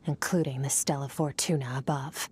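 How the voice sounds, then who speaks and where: A woman's voice: a bass voice, steady tone, normal speed, a little mellow, a little hiss or hum. A woman voicing an aloof, businesslike female warrior mentor in a video game, speaking curtly and coolly. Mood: grave. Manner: cool, curt and businesslike.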